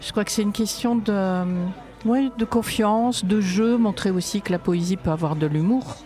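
A woman's voice: mid-range voice, normal speed, warm tone, faint music behind. A woman poet and workshop leader answering interview questions in a reflective, light-hearted way.

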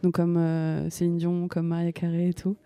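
A young woman from France talks softly in a relaxed, unhurried way. Her voice sounds warm and mellow. She often pauses and restarts as she searches for words. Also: fairly low pitch, smooth, no room sound. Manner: soft-spoken and hesitant, with many fillers and restarts. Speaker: singer-songwriter guest. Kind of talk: casual interview talk.

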